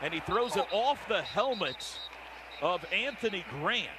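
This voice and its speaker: An American man calling football play-by-play on the radio in clipped phrases, getting excitable on big plays. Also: high voice, a little slow. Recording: very noisy stadium radio broadcast. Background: loud stadium ambience.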